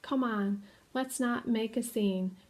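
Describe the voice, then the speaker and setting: A woman voicing a calm, wise female spider who is dying, speaking quietly and low. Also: takes it slowly.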